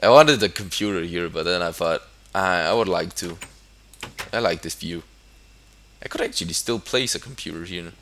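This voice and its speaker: A man's voice: low-pitched, moderate pace, fairly bright tone. A young man from Denmark with a Danish accent, commenting casually on a building game. English is not his first language, and he hesitates with many fillers and restarts. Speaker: gamer.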